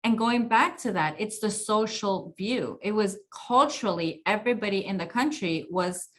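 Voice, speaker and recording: A woman's voice: mid-range voice, normal speed, a bit bright. A woman from Colombia hosting a talk show, speaking in a casual, reflective way and often restarting her sentences as she shares personal stories. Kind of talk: casual conversational interview.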